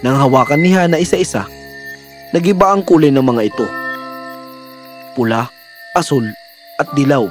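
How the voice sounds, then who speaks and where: A man's voice: mid-range voice, moderate pace, pauses often, soft background music. A man narrating a scripted fairy tale in a dramatic storytelling manner.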